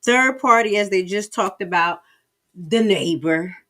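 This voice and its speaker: A woman's voice: medium pitch, unhurried, pushed and bright. An American woman reacts live as a stream host. She talks casually and colloquially, stretching words for emphasis and exclaiming often. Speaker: livestream host.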